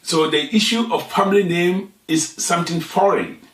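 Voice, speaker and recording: A man's voice: high voice, fairly bright tone, recorded from a distance. Ghanaian man in an echoey room. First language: Twi. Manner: unhurried, explanatory, with pauses and restarts. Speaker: show host. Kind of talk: satirical talk.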